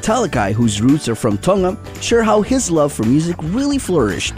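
A man's voice: on the high side, normal speed, faint music behind. A man hosting a TV show, narrating segments in an upbeat presenter style. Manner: upbeat, lively presenter delivery. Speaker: show host. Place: outdoors by the sea.

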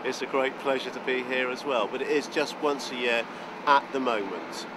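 A man's voice: medium pitch, steady tone, normal speed, noisy background. A middle-aged man, a ship's chief purser, answering questions and often repeating words as he goes. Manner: repeats words while gathering thoughts. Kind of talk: interview answers. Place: outdoors on a ship's deck.

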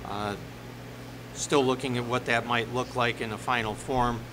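A middle-aged man speaking haltingly as a village attorney, formally explaining ordinances at a board meeting. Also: fairly even intonation. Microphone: desk microphone on the dais. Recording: noisy meeting room with ventilation rumble.

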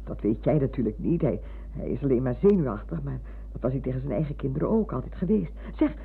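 An older actress playing a chatty, fussy, sentimental retired schoolmistress who rambles and interrupts herself in a radio play. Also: female voice, rumbling low, animated, quick pace, vocal fry, slight background noise, heavily acted. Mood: reminiscing. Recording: muffled phone-like old radio recording.

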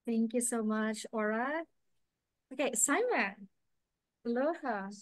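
Chatty woman hosting a video call, higher voice. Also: takes it slowly, no room sound. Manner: friendly, chatty, fillers. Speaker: online meetup host. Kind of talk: casual moderating on video call.

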